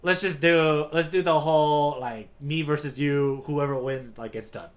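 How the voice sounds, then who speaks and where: A man co-hosting a podcast in casual, slangy chat, with an excitable manner. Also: a little high, normal speed. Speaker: podcast co-host.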